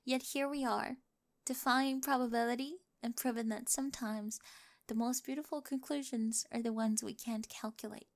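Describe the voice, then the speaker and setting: A woman's voice: a little high, fairly even intonation, normal speed, lightly acted. A woman acting a quirky, analytical young bride in a scripted ASMR roleplay, earnest and loving, with precise scientific phrasing. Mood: tender, earnest.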